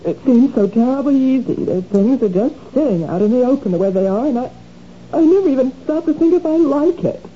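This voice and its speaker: An actress playing an anxious wife who keeps shoplifting, in a 1970s radio drama with a defensive, pleading manner. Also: female voice, high voice, animated, brisk, slight background noise. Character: anxious woman caught shoplifting again. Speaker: troubled wife. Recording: dull old radio broadcast.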